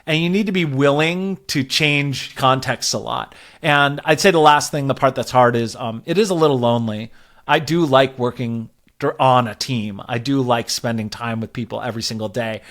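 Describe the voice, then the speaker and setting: Man giving measured, conversational advice. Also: mid-range voice, a bit bright. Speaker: podcast guest, growth advisor. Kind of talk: casual interview conversation, explaining advice.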